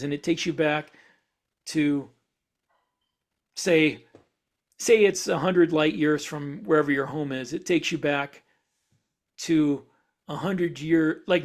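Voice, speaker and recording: A man's voice: a little high, fairly even intonation, measured pace, long pauses. A man co-hosting a podcast, explaining speculative ideas in a casual way and piecing his thoughts together as he goes. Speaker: podcast co-host.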